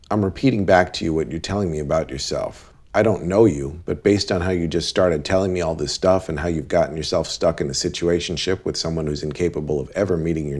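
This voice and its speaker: A man playing a blunt, deadpan cab driver who gives relationship advice in an animated series. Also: low-pitched. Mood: blunt, matter-of-fact.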